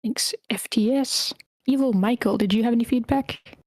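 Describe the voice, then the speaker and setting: A woman's voice: fairly high pitch, normal speed, a little mellow, dry recording, clean studio sound. A woman informally moderating an online group meeting, addressing participants and running a feedback round. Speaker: moderator of an online table read. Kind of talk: informal moderating, calling on speakers.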